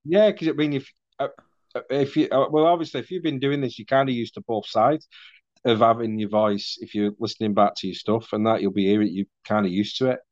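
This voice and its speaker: A man in his forties with a softened Mancunian accent, chatting casually and self-deprecatingly as a podcast guest over a video call. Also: mid-range voice, fairly even intonation, fairly quick, dry recording, highs cut off. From Manchester, England.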